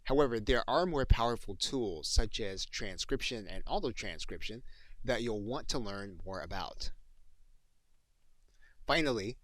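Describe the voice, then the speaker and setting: A man with a fairly low voice narrates a tutorial in the manner of a course instructor. His phrasing is measured and explanatory. The recording is very dry, with no room sound. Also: fairly bright tone, dry recording.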